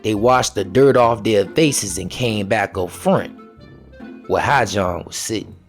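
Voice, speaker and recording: A man telling a folktale aloud in a dramatic, folksy vernacular with an unhurried manner. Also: lowish voice, creaky. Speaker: storyteller. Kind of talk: dramatic oral folktale storytelling. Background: music.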